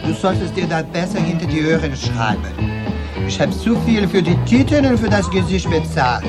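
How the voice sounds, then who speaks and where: A man's voice: on the high side, lively intonation, moderate pace, close to an everyday voice. An actor playing a proud, touchy transgender woman working the streets in a radio drama, sassy and sprinkling in Spanish endearments. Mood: indignant, proud. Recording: loud music behind the voices. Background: loud music.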